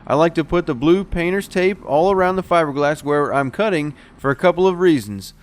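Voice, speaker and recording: A man's voice: on the high side, a little fast. A man narrating a step-by-step boat repair how-to as the show's technician host. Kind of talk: explanatory how-to voice-over narration.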